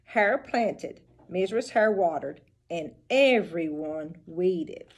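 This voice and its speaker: Female storybook reader for children: instructive, expressive, slow pace, lively pitch swings. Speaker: teacher reading aloud to children. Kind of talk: storybook read-aloud with asides to children.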